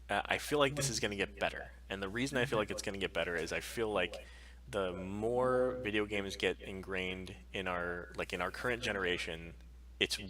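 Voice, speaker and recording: Guy cohosting a stream, lowish voice w bright edge, blunt casual opinions, swears a lot. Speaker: co-host of a podcast live stream.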